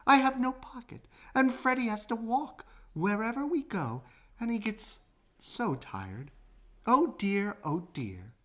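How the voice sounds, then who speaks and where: A woman doing a weepy, high-voiced mother kangaroo. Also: wildly swooping pitch. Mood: sad. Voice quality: high and plaintive. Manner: slow, weepy pleading. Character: sad, fretful mother kangaroo.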